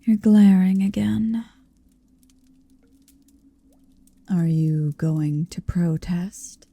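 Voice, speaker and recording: A woman voicing a possessive, seductive motherly dragon in an intimate ASMR roleplay, breathy and whispery, speaking slowly and deliberately close to the microphone. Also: fairly low pitch, mellow, no echo, heavily acted. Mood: possessive, teasing. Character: possessive, seductive motherly female dragon hoarding her captive. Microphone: close microphone, dry.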